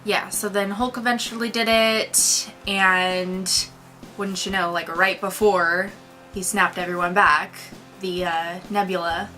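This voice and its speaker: A woman's voice: medium pitch, fairly even intonation, a little slow, pushed and bright, faint music behind. A woman co-hosting a casual movie review, talking informally and enthusiastically with slang.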